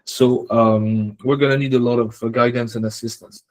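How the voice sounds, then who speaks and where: A man who works as a software developer, talking casually and tentatively through technical points on a team call. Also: medium pitch, steady tone, normal speed.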